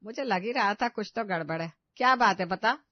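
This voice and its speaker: A woman's voice: medium pitch, brisk, lo-fi sound, lightly acted. A woman voicing an elderly, suspicious mother-in-law in a moral story, exclaiming and scolding.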